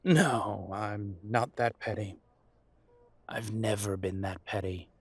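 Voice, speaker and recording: A man's voice: on the low side, fairly even intonation, slow pace, slightly rough, heavily acted. A man playing a wounded, bitter werewolf son in an audio drama, guarded and weary with a sarcastic edge. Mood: sarcastic, defiant. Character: bitter, wounded adult werewolf son confronting his parents. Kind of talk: audio roleplay drama acting.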